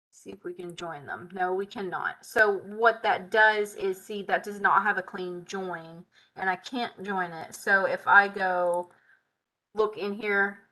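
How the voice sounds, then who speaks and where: A woman hosting a live software tutorial, thinking aloud as she works, her voice tired and hoarse, chatty with a Southern "y'all". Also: medium pitch, rough recording. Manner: chatty, Southern "y'all", muttering while working.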